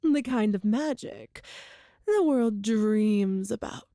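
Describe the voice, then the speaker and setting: A woman playing a cruel, seductive empress in a dramatic ASMR roleplay, with haughty, theatrical, deliberate phrasing. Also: mid-range voice, expressive, mellow, no room sound, studio quality. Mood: storytelling, beguiling. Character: cruel imperious empress, seductive, menacing tyrant.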